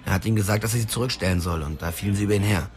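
Actor as a fast-talking hot-headed young male sidekick. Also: lowish voice, close to an everyday voice. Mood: agitated. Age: young adult. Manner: blunt, slangy, pushy. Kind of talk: radio drama dialogue. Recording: music behind the voice.

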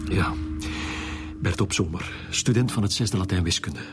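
A man's voice: low voice, moderate pace, over loud music, close to an everyday voice. An actor playing an evasive, shaken Flemish school principal in a radio drama, hesitant and stammering as he weighs his words. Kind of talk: naturalistic radio drama acting.

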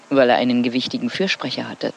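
An actress playing a spirited, evasive old widow in a German radio drama, with emphatic delivery. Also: female voice, rumbling low, vocal fry, dull audio, full character voice.

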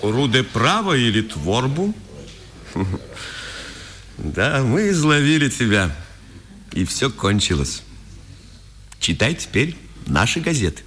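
A man's voice: medium pitch, very animated, takes it slowly, pauses often, fairly bright tone. A man acting a domineering, sly, self-satisfied Nazi secret-police officer in a 1950s radio drama, taunting and commanding in a theatrical way. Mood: gloating. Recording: 1950s radio recording, faint noise.

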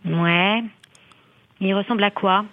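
A woman's voice: mid-range voice, fairly even intonation, slow, dry recording. An actress playing a weary police switchboard operator, curt and laconic, over a lo-fi phone in a podcast drama. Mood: bored, curt. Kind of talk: podcast fiction acting. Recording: noisy, lo-fi phone line.

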